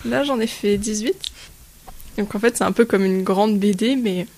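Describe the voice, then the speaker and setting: A woman's voice: mid-range voice, normal speed, dark tone. Casual young-sounding woman artist. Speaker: guest artist. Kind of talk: radio interview answers.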